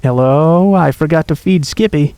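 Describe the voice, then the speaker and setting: A man voicing a frightened little girl who fakes calm on a phone call, urgent and insistent. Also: a little high, moderate pace, dry recording, pitched above the performer's own voice, natural voice. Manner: urgent, insistent, feigning cheerfulness.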